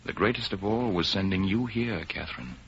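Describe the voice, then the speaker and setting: Deep-voiced romantic count, old radio drama. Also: male voice, fairly quick, gravelly, husky. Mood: grave, confiding. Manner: earnest, romantic, declamatory. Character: ardent aristocratic count, earnest lover and patriot. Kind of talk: 1930s radio drama acting. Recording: muffled old 1930s radio recording with music.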